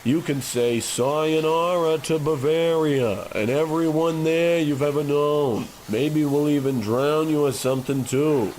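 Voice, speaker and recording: Guy doing a mob fixer, slow and deadpan, mid-pitched, old radio style, faint noise. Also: natural voice, rough recording. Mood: coolly threatening. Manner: deadpan threats. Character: menacing, matter-of-fact mob fixer. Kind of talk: old-time radio play parody.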